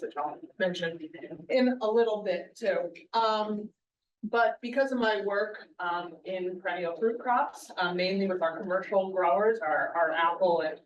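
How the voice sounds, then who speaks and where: A woman speaker addresses a live conference audience in a big, projecting voice. Her delivery is chatty and conversational, and she stops to quiz the listeners. The sound is roomy and distant, caught by a mic set well back from her. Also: medium pitch. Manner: loud, projecting voice. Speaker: guest speaker, soil scientist. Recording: roomy hall, mic far off.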